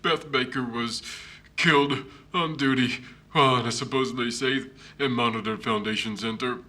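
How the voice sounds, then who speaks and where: Intense, sharp-voiced grieving widower, played by a man. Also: medium pitch, steady tone, normal speed, close to an everyday voice. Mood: somber. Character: grieving widowed investigator, troubled and increasingly agitated. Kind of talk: found-footage horror monologue.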